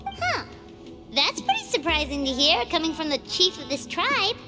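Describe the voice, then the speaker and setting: A woman's voice: very high voice, music in the background, heavily acted. A woman voicing a tiny, girlish game companion who is curious, chatty and blunt, full of questions. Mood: surprised. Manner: excitable, chatty, quick to question.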